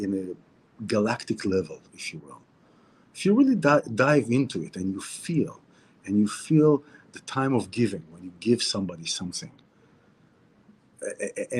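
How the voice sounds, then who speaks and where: A man's voice: fairly low pitch, a little mellow, husky. A man with an Israeli Hebrew accent hosting a casual weekly Torah talk indoors at a seaside hotel, reflective, repeating phrases and restarting often.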